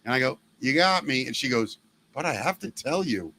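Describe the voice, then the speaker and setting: A middle-aged man hosting a casual podcast, a former radio DJ with a loud, gravelly former smoker's voice who tells stories and acts out the voices he quotes. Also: medium pitch, expressive, fairly quick, a little hiss or hum, low-quality recording. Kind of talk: casual chat.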